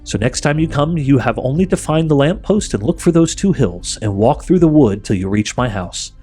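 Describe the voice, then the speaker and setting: A man's voice: mid-range voice, lively intonation, normal speed, mellow, slightly rough, soft background music. A man voicing a sly, coaxing witch queen for a storybook, speaking in a grand, persuasive, commanding way. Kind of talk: audiobook character voice.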